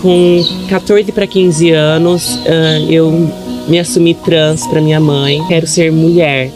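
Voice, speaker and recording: A woman in her twenties giving a soft, reflective personal testimony outdoors by a lake, pausing often. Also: low-pitched, fairly even intonation. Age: 20s. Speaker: interviewee. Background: background music.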